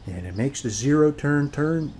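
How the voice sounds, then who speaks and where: A man's voice: fairly high pitch, animated, moderate pace, warm tone, a little hiss or hum. An American man performing an ASMR roleplay as a chatty, boastful suburban neighbor, rambling in a folksy way and often checking in with the listener.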